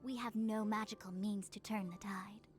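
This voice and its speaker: Brief: poised formal seer woman, measured and dry, game music underneath woman acting it. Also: medium pitch, fairly even intonation, close to an everyday voice. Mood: composed. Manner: measured, formal, dryly exasperated. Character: calm stern female seer, formal and weary.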